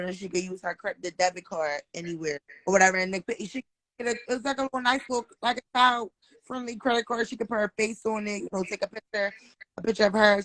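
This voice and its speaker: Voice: medium pitch, a bit bright, dry recording, rough recording. A host giving earnest, encouraging advice in a casual chat, repeating phrases for emphasis.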